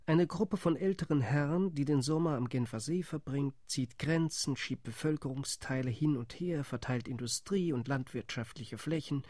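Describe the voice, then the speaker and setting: Man voicing a sardonic spokesman, medium pitch, dry sound, scornful, radio play. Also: normal speed, hardly any pauses, darkish tone, no room sound, natural voice.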